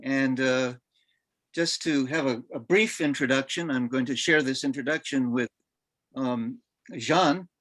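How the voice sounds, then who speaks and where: A man hosting a roundtable, giving informal introductions in a somewhat halting way. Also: medium pitch, fairly bright tone, dry recording. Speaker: roundtable host. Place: online video meeting.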